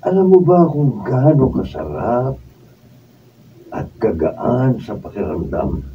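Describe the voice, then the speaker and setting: A man's voice: mid-range voice, big pitch swings, takes it slowly, phone-quality sound. A man playing a wise, consoling elderly brother in a radio drama, earnest and philosophical, with a Filipino accent. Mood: earnest, encouraging. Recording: distant, phone-thin, noisy room recording.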